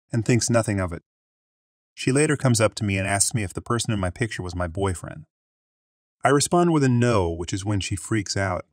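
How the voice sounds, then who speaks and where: Deep-voiced man reading stories aloud. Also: no room sound, studio quality. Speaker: narrator reading online stories. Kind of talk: scripted story narration.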